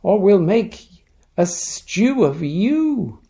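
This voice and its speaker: A man voicing a bossy, easily bored, big-bearded Viking with a loud, blustering manner in a storybook. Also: sits high, very animated, extremely slow, gravelly, voice pushed up, full character voice. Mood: threatening.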